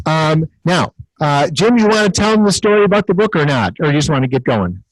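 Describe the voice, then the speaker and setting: A man's voice: on the high side, animated, a little fast, no room sound, low-quality recording. A man hosting a class, jovial and teasing as he banters with a guest.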